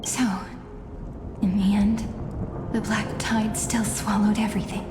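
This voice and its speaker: Casting: actress as solemn game heroine, flat and slow, echoing space with noisy ambience. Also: female voice, mid-range voice, music in the background, natural voice. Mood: somber. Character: somber lone surviving heroine. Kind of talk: video game voice acting. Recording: echoey hall reverb, noisy ambience behind.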